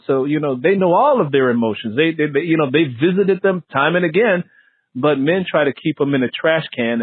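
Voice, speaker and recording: A man hosts a casual interview with a mid-pitched voice recorded very dry. He talks in an explanatory way, slipping into quoted lines to illustrate his points. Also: breathy edge, dry recording, phone-quality sound. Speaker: interview host.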